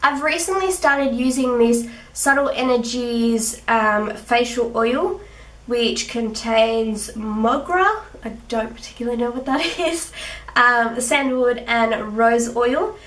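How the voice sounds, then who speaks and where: A young woman with a sick, husky rough voice, chatting through her skincare routine with little laughs. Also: on the high side, slow, a bit bright. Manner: chatty, giggly, with fillers. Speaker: beauty vlogger. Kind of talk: casual skincare tutorial. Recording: echoey room, mic at a distance.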